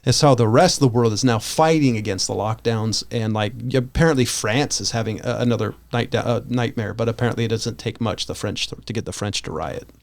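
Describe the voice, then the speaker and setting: A man with an American accent co-hosting a podcast, talking casually and giving opinions in a rambling, halting way that often backtracks. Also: fairly low pitch, gravelly. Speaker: podcast co-host.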